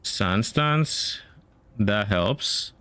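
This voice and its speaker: Smooth-voiced Nigerian-Canadian man. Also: fairly low pitch, lively intonation, extremely slow, fairly bright tone. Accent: mix of Nigerian and Canadian. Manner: thinking aloud, musing and self-questioning. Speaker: game streamer. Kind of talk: live stream, casual chat while planning a game build.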